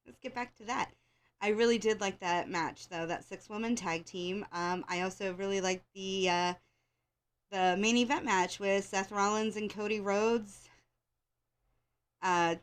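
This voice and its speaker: An American woman talks in a loose, conversational ramble. Her voice is a little congested and scratchy at mid pitch. She sounds like an opinionated fan addressing her listeners informally, circling back over her phrases. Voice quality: slightly congested, scratchy throat from allergies. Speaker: host of a wrestling show. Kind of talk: casual rambling chat to listeners.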